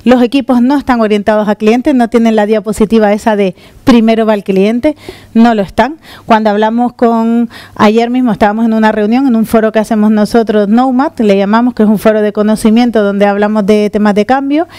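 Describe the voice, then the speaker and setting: A middle-aged woman from the Canary Islands giving a lively conference talk on stage with a handheld mic. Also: on the high side, fairly quick, clean tone, no room sound. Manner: lively, gesturing while walking on stage. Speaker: conference speaker. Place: conference room with audience. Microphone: handheld microphone.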